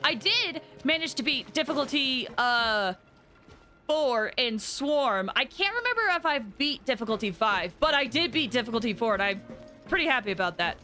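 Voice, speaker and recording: A woman's voice: on the high side, big pitch swings, normal speed, bright, forceful tone, faint music behind. A woman livestreaming a game, chatting casually and informally with viewers in a rambling way. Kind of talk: casual chat with viewers while gaming. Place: livestream voice with game music behind.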